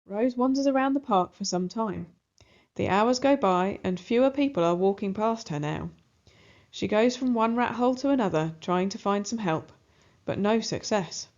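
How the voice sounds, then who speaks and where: A woman narrating a children's storybook aloud in an expressive style. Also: mid-range voice, lo-fi sound.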